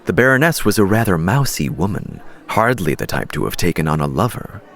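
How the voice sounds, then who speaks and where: Male narrator for fiction audiobook: lower-pitched, lively intonation, storytelling read. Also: brisk, gravelly.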